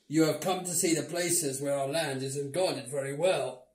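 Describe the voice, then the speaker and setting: A man's voice: a little high, fairly even intonation, normal speed, a little mellow, lightly acted. A man playing a mean, stern governor who accuses and commands, in a storybook-style dramatic reading. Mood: harsh, accusing. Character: stern, mean male governor interrogating suspects. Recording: roomy indoor echo.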